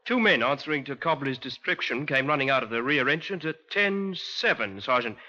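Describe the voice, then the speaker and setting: An actor playing a confident, respectful British police constable in a 1950s radio drama, speaking emphatically. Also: male voice, medium pitch, expressive, normal speed, bright, forceful tone. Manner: emphatic, respectful, sure of himself. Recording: dull old radio recording.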